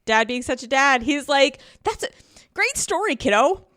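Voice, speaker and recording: A woman's voice: high voice, very animated, moderate pace, a bit bright, dry recording, studio quality. A woman in her thirties chatting casually as a podcast guest, animatedly acting out the lines she quotes. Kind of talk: casual chat.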